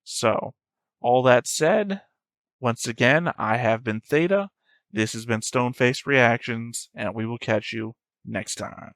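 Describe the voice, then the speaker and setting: A man's voice: medium pitch, takes it slowly, fairly bright tone, dry recording. A man hosting a reaction video, giving casual analytical commentary in a rambling, thinking-aloud way.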